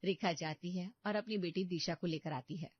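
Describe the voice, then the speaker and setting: A woman's voice: lowish voice, normal speed, no echo. A woman reading scripted narration for a cartoon story. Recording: muffled dry close recording.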